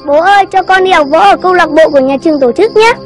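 A very high-pitched, boastful young cartoon boy, full of excitement. He is bossy and emphatic in his delivery. Music plays clearly behind him. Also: fast. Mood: eager. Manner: emphatic, exclamatory. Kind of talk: Vietnamese cartoon voice acting.

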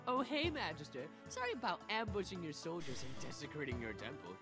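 A woman's voice: lowish voice, big pitch swings, brisk. A woman playing a pompous, sarcastic little mayor in a cartoonish video game. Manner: theatrical, mocking. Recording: game audio with loud music.

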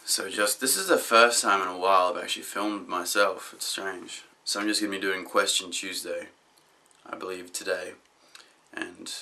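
A young man with a husky voice, deepened by sickness, rambling casually to camera in a dry, self-deprecating way. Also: bright, forceful tone. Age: young adult. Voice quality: husky, deep from illness. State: sick, voice gone deep. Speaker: video blogger. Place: small room indoors.

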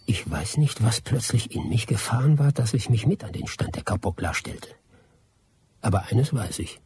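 Low-voiced, wry expatriate cynic. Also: male voice, big pitch swings, normal speed, a little hiss or hum. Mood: reflective. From Britain (the figure). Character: wry, cynical British expatriate man in colonial Brazil. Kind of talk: German radio drama acting. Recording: loud music behind the voice.

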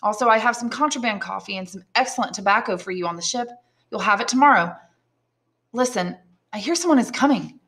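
A woman's voice: fairly high pitch, animated, a little fast, a bit bright, pitched above the performer's own voice. Woman reading as an earnest, eager young man. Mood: eager, reassuring. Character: eager, earnest young son, a sailor back home. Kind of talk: audiobook character dialogue.

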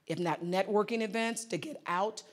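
A middle-aged woman facilitating a live seminar on a conference stage, speaking into a handheld mic with a lively, direct coaching manner. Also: mid-range voice, moderate pace. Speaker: workshop facilitator. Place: large conference hall stage.

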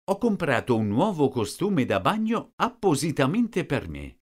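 Man slowly reading sentences, lively pitch. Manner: reads each sentence twice, clearly and slowly. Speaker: language teacher. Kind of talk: slow repeated phrase reading.

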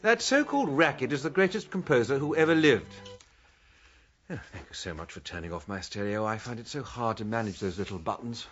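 An actor playing a fussy, sarcastic middle-aged English ex-military gentleman in a radio drama, with a dryly polite manner. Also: mid-range voice, lively intonation, brisk, muffled recording, soft background music, close to an everyday voice. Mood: sarcastic, indignant. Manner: dry, sarcastic, fussily polite.

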